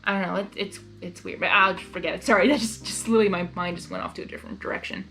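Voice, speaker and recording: A woman's voice: lowish voice, steady tone, very fast, gravelly, pushed and bright, faint music behind. A woman reading fan fiction aloud as a narrator, with casual, unrehearsed asides.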